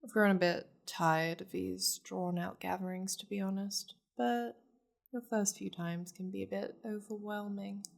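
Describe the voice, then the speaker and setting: A woman's voice: medium pitch, fairly even intonation, a little slow, gravelly. A woman voicing a possessive, wealthy demon lady in an intimate ASMR roleplay, flirtatious, teasing and commanding with haughty endearments. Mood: teasing, reassuring.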